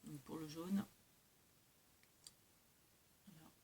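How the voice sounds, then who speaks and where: A woman's voice: low-pitched, steady tone, extremely slow, mellow. A woman chatting casually to her viewers as a craft vlogger, hesitant and rambling, often correcting herself as she goes. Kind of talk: casual haul chat to viewers, showing items. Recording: clear background noise with hiss.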